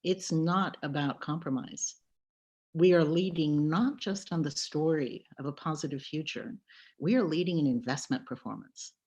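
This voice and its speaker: A woman with a low voice and an American accent, raised in Brazil, speaks on a webinar panel. She talks in a lively, conversational way, with the odd filler. It sounds like a video call. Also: breathy edge. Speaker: panelist, company board director.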